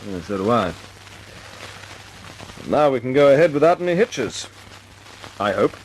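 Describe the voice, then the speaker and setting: An actor playing an earnest young bomber squadron commander in an old radio drama, clipped and direct. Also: male voice, medium pitch, sing-song, measured pace, background noise. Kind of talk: 1940s radio acting.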